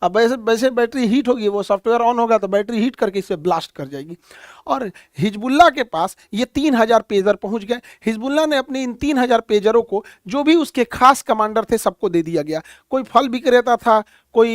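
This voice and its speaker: A man's voice: high voice, no room sound. Man lecturing, dry close sound. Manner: colloquial, storytelling, repeats key phrases for emphasis. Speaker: teacher. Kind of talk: explanatory current-affairs lecture.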